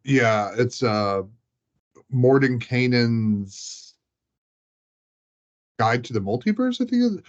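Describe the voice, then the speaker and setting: A man's voice: medium pitch, lively intonation, takes it slowly, long pauses. A man chatting casually in a rambling, informal way, leaning on "like" as he talks, on a dull lo-fi recording. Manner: rambling, informal, heavy use of "like". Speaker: hobbyist tabletop game master. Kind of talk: casual chat.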